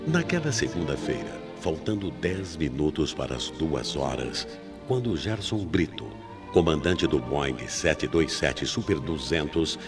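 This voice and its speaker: A Brazilian man with an extremely deep voice narrates a radio mystery. His delivery is suspenseful and highly expressive, with music behind him. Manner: suspenseful, deliberate phrasing. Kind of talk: scripted dramatic mystery narration. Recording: 1990s AM radio drama with music bed.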